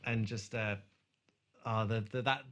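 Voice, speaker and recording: A man in casual podcast discussion, speaking thoughtfully and often pausing to search for his words. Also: lowish voice, fairly bright tone. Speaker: podcast host.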